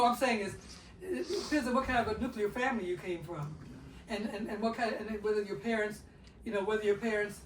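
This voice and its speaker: Older man, quick, forceful, bright, repeats words, quotes people, distant mic, echoey room. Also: sits high, a little hiss or hum. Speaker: father in a family circle. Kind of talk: storytelling in a community circle.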